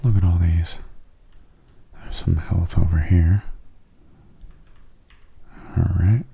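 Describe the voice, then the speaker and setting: A man giving gentle, soft-spoken ASMR game commentary in a breathy, near-whispered voice, unhurried and close to the microphone. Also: low-pitched, steady tone. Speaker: gaming channel host.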